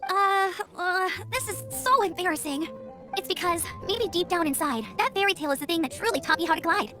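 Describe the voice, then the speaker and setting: A woman's voice: very high-pitched, animated, brisk, pushed and bright, faint music behind, full character voice. A woman playing a cheerful, eager teenage girl scout in a video game, earnest and informal. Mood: embarrassed, sincere.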